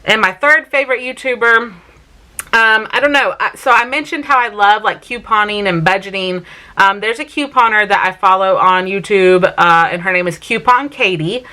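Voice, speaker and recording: A woman in her thirties with a soft Southern accent talks casually straight to the camera in a small room at home. Her voice is bright and forward at an ordinary pitch. She chats along loosely, scattering "um" and "like" through her sentences. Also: normal speed. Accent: Southern US (South Carolina). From South Carolina, raised in Vermont. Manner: chatty, many "um" and "like" fillers. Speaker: mom vlogger.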